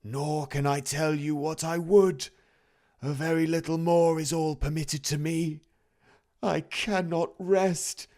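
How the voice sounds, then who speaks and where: A man voicing a tormented male ghost in chains, howling his words in a drawn-out, mournful way. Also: on the high side, steady tone, warm tone, pitched above the performer's own voice. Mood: wailing.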